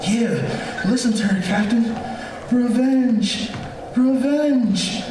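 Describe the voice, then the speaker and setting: An actor playing a rough, baffled policeman in an old-time radio horror drama, emphatic and colloquial. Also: medium pitch, very slow. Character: rough, baffled policeman reporting to his captain. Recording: echoey theater, distant mic, clear noise.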